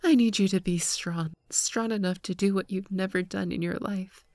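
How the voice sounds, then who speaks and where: A woman's voice: mid-range voice, fairly even intonation, dry recording, studio quality, lightly acted. Woman acting wounded, wistful young female marine, whispery. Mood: reproachful, pleading. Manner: soft, breathy, halting delivery with whispered phrases. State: weak from injury. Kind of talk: anime fan dub drama.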